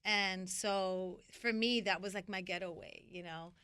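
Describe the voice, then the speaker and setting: A middle-aged woman from New York, a magazine founder telling her story as an interview guest, animated and full of fillers and restarts. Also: medium pitch, measured pace, clean tone, dry recording. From New York (Washington Heights).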